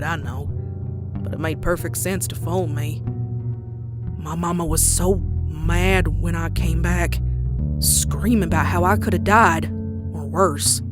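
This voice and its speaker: A woman's voice: fairly low pitch, natural voice. A woman voicing a plainspoken young farm mare with a folksy Southern American drawl in a comic dub. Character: plainspoken, weary young adult farm mare, cowgirl pony. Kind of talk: animated comic dub acting and narration. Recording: music bed under the voice.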